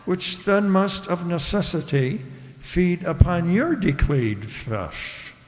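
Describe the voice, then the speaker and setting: A glib, sardonic captive played by a man in a comic radio drama. His voice sits a little high, and he reasons dryly, as if leading someone along. A hall-like reverb hangs around the words. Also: very animated, takes it slowly, slight background noise, heavily acted. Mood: slyly reasoning. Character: glib, wry human prisoner, a broadcaster. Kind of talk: satirical radio play acting.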